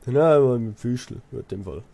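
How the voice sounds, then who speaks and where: A man's voice: medium pitch, lively intonation, fairly quick, vocal fry, warm tone. A young man with a Swiss German accent chatting casually over a game, telling stories in a rambling, repetitive way. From Switzerland. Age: young adult. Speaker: let's player, apprentice builder. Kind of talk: casual chat while gaming.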